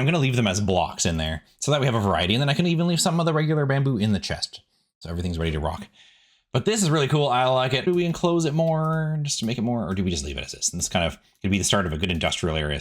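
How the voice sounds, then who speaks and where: A man's voice: on the low side, lively intonation, rapid-fire. A man giving casual, chatty gameplay commentary, rambling in asides to viewers as a video host.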